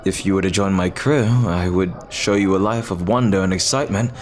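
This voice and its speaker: A man playing an earnest, lovestruck pirate captain in an ASMR roleplay, speaking haltingly and tenderly in formal, old-fashioned phrases. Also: low voice, steady tone, natural voice. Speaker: audio roleplay performer.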